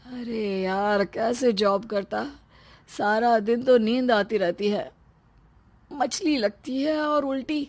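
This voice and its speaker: Woman playing a whiny, anxious young husband, high-pitched. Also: slow pace, darkish tone, slight background noise. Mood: whiny, complaining. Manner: plaintive, dramatic complaining. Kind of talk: acted dialogue in a Hindi comic story.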